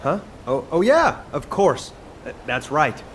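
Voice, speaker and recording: A man playing an earnest soldier who loves cooking in a video game, expressive and a little hesitant. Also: a little high, unhurried, slight background noise. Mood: sheepish.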